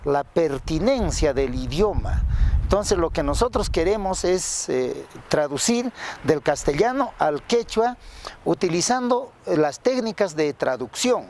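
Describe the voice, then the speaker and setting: A man with a Peruvian Spanish accent explaining carefully and didactically in an interview as a translator, with pauses. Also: fairly high pitch, sing-song, darkish tone, slight background noise.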